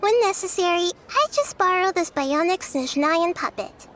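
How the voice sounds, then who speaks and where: A woman playing a childlike, wise young goddess in a video game, speaking in a measured, thoughtful, earnest way. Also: extremely high pitch, animated, fairly bright tone, a little hiss or hum. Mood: composed explaining. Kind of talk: scripted video game voice acting.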